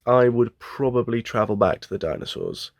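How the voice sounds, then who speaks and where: A man with a gravelly, husky voice giving a casual interview as an audio drama cast member. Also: medium pitch, very animated, normal speed, no echo, studio quality. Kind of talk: casual interview talk to camera.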